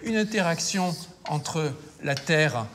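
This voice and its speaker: A man explains science for a documentary, his voice slightly high. He builds the picture step by step, with small hesitations. Faint noise sits in the background. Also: slow, fairly bright tone.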